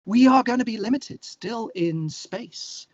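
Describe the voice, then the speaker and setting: A man talking casually in an interview, opinionated and animated, thinking aloud and correcting himself as he goes. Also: extremely high pitch, a little slow, dry recording, muffled recording. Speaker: interview guest, musician and songwriter.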